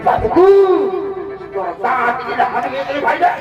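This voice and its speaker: A man doing loud, exclamatory, repetitive comedy on stage, scolding theatrically. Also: very high-pitched, lively intonation, measured pace, vocal fry. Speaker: comic stage performer in a folk dance show. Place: live village stage show. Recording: echoey distant PA, dull, music and crowd.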